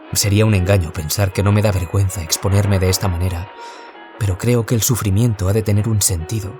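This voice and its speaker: An actor playing a troubled young writer who survived bullying, confiding in a reflective audio drama monologue. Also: male voice, lowish voice, natural voice. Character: troubled young man, former bullying victim, wistful writer. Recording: loud music behind. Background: music.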